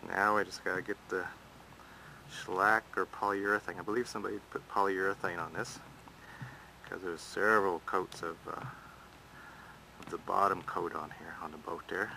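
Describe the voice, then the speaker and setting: Low gravelly man, plain folksy talk. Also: fairly even intonation, normal speed, long pauses, pushed and bright, slight background noise, low-quality recording. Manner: plain-spoken, folksy, practical. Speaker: hobby boat restorer. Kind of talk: casual narration over his own camera footage. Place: outdoors in a backyard.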